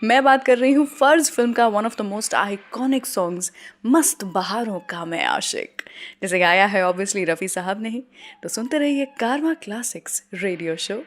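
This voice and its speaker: A woman's voice: mid-range voice, unhurried. A woman with an Indian accent presenting a radio show as host, mixing Hindi and English.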